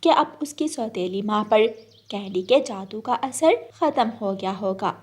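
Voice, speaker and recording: A woman's voice: mid-range voice, expressive, measured pace, a little mellow, slightly rough. A woman storyteller narrating a scripted children's story.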